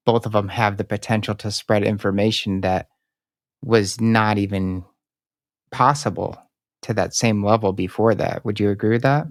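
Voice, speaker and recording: A man's voice: fairly low pitch, dry recording, clean studio sound. A man from the Chicago area hosting a podcast, talking in a casual way with frequent fillers and putting questions to his guest. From Chicago area, United States. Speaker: podcast host. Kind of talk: podcast interview.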